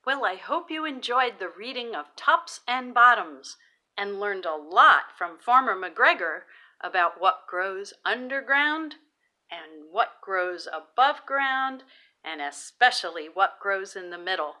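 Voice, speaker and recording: A woman reading a children's book aloud in an expressive, deliberate, dramatized storytelling style. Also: medium pitch.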